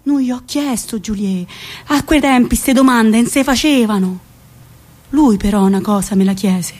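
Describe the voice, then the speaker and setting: A woman's voice: fairly high pitch, normal speed, a little mellow, natural voice. A woman playing an old village grandmother with a central Italian accent, telling her memories in a plain, reflective, colloquial theatrical monologue. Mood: reminiscing.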